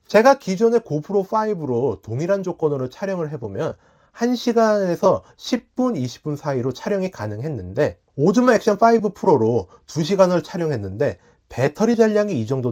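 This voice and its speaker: A man's voice: on the high side, a little mellow. A man hosting a tech review, narrating from a script in a polite, explanatory way. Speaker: tech review channel host.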